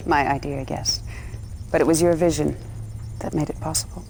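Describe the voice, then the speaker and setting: An actress playing a proud, ambitious general in a video game, speaking in a solemn, deliberate, declamatory way. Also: female voice, deep voice, fairly even intonation, gravelly, a little hiss or hum, rough recording. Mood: proud, restrained. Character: proud, ambitious female general of a militant brotherhood. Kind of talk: video game cutscene acting. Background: loud dramatic music.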